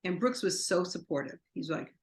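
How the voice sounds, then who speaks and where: A woman's voice: on the low side, animated, normal speed. A woman from New York, a guest in an interview, telling long rambling anecdotes full of quoted dialogue. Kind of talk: interview storytelling.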